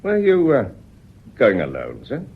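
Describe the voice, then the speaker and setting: An actor playing a polite but probing British police inspector who feigns casualness in a radio drama. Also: male voice, medium pitch, huge pitch swings, a little slow, slightly rough, phone-quality sound, exaggerated voice. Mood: slyly casual.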